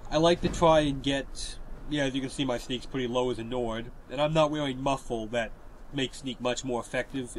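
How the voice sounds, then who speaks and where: A man with a stuffy, cold-blocked nose talks through a game walkthrough in a relaxed, explanatory way, handing out tips as he plays. His voice sits at an ordinary middle pitch. A faint hiss runs under the recording. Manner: relaxed, explanatory, tip-giving. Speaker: gameplay commentator.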